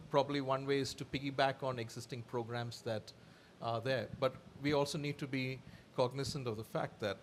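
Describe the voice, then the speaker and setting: A man explains his points as a conference panelist. His voice is mid-pitched and his delivery measured. Clear ambient noise runs behind him. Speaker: panelist, health expert. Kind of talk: panel discussion. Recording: live event with clear background noise.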